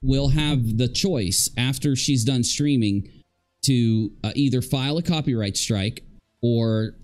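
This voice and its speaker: Male livestream host, thirties, mid-pitched, sarcastic and emphatic, faint music underneath. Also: slow, a little mellow. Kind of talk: casual live reaction commentary. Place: indoor room at home.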